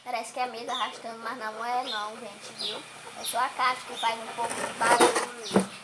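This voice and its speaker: A woman's voice: on the high side, level tone, a little fast. Chatty young girl making crafts. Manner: chatty, talks to viewers as she cuts and draws. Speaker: crafts vlogger. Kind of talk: casual craft tutorial. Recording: noisy home recording.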